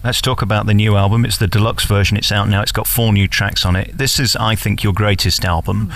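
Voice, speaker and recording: Male celebrity interviewer, fairly deep with vocal fry, gushing and emphatic in easy conversation. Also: fairly even intonation, fairly quick, a bit bright, dry recording. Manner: effusive, emphatic.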